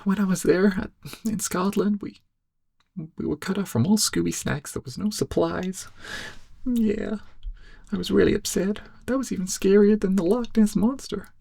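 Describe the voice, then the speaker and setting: A man playing a laid-back, cowardly, goofy young amateur sleuth in a soft-spoken ASMR roleplay, rambling hesitantly in a breathy, cracking stoner drawl. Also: high voice, normal speed, no room sound. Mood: chatty, rambling. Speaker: ASMR performer.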